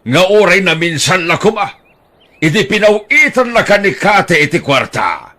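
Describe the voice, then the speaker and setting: A man's voice: high voice, very animated, normal speed, pushed and bright, exaggerated voice. An actor playing a teasing older husband who gambles, bantering with his wife in an audio drama.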